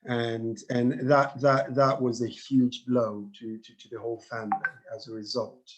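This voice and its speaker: A middle-aged man from Tanzania, a panel guest telling his own story earnestly, halting and repeating words, over a video call. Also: mid-range voice, flat delivery, dull audio. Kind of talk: personal testimony on a panel.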